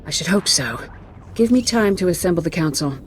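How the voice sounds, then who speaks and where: A woman's voice: lowish voice, lively intonation, fairly quick, a little hiss or hum, lightly acted. A woman acting a composed, fair-minded Jedi grandmaster in a video game, speaking formally and with authority.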